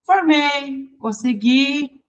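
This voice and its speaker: A woman's voice: sits high, drawn-out words, dull audio. Chatty Brazilian woman telling her story. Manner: lively, chatty, restarts and laughs while recounting. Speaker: interview guest, songwriter and teacher. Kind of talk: personal storytelling in conversation.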